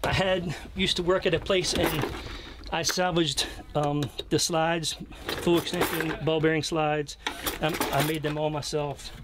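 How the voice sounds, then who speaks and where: A man's voice: fairly high pitch, flat delivery, a little slow. An American man in his fifties giving a casual, unscripted walkthrough in a plain-spoken, hesitant way, recorded inside a small camper trailer. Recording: clearly noisy location sound with clicks.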